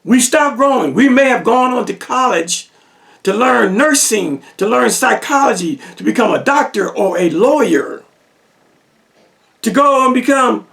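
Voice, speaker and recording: Slow, gravelly high-voiced man lecturing. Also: fairly bright tone, far from the mic. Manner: emphatic, rhetorical questions, repetition for effect. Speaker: religious teacher. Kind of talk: lecture-style monologue.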